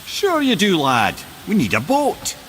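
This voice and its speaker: A man playing a talkative, quick-witted older travelling companion in a video game, with an expressive, folksy way of talking. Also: sits high, a little hiss or hum, heavily acted. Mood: jaunty, coaxing.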